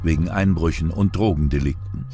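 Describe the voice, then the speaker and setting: A man's voice: low-pitched, a little fast, warm tone, faint music behind. A man reads scripted true-crime documentary narration.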